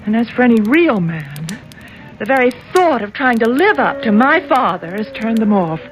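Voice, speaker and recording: A defiant young heiress in a radio thriller. Her voice is fairly high, emotional and scornful. Faint music plays underneath. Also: sing-song, moderate pace, rough recording. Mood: bitter, pleading. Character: defiant, lovestruck runaway daughter of a powerful man. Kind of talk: radio drama acting.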